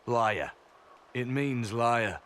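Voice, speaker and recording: Earnest, direct fantasy agent. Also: male voice, mid-range voice, expressive, extremely slow, fairly bright tone, slight background noise. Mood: dry. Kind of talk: video game cutscene acting.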